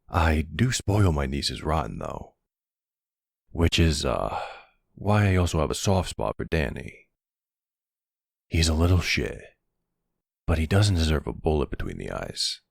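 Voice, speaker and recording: A man playing a charming middle-aged mafia boss, suave and flirtatious, with a gravelly, husky voice, in an intimate ASMR roleplay. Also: very deep voice, no room sound, clean studio sound, heavily acted. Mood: candid, fond. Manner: suave, courteous, teasing, uses pet names.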